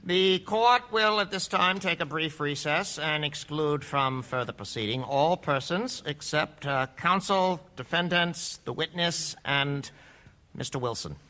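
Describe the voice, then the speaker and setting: A presiding judge in a radio courtroom drama. His voice is fairly high and bright, with a formal, decisive, authoritative delivery. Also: a little slow, low-quality recording. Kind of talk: radio play acting.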